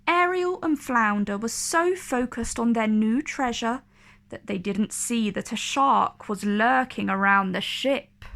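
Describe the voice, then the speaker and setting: A woman narrates a children's storybook with expressive drama. Her voice sits a little high. She takes her time over each phrase, pacing it very slowly. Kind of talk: expressive read-aloud narration for kids.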